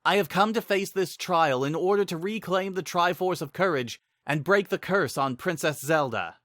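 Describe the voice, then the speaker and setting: A man's voice: sits high, normal speed, fairly bright tone, dry recording, pitched above the performer's own voice. A man voicing a young hero swordsman, brave but nervous, in an earnest, declamatory manner for a fantasy audio story. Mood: nervous resolve.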